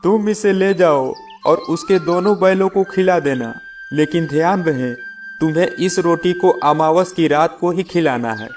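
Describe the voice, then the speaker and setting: A man's voice: on the high side, unhurried, soft background music, pitched above the performer's own voice, lightly acted. A man voicing a stern village holy man and mystic, grave and admonishing, in a children's moral story. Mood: instructing.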